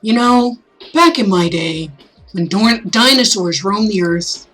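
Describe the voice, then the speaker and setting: A woman's voice: mid-range voice, expressive, takes it slowly, fairly bright tone, faint music behind. A woman voicing an affable older male mayor giving a folksy, jokey, rousing speech in a visual novel reading. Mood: folksy, joking.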